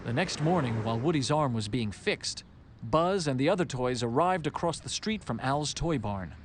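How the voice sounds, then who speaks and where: A man narrating a scripted children's read-along story in an expressive, animated storytelling manner. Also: mid-range voice, normal speed, noisy background. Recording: music behind the narration.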